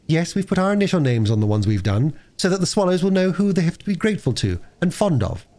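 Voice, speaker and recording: A man voicing a stubborn, excitable young girl in a storybook reading, quick to argue. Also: fairly high pitch, voice pushed up. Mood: indignant.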